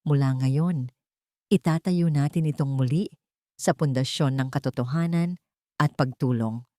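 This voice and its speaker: A woman's voice: very deep voice, unhurried, warm tone, no room sound, clean studio sound, pitched below the performer's own voice. A woman voicing a determined, compassionate mother and chief executive in an audio story, firm and authoritative. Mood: firm, resolute.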